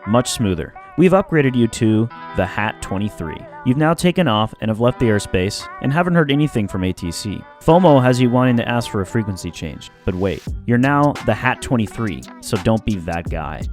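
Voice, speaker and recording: A man's voice: medium pitch, sing-song, normal speed, hardly any pauses. A man giving scripted instructional narration for an aviation explainer video, in an informal, jokey, slangy way. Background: background music.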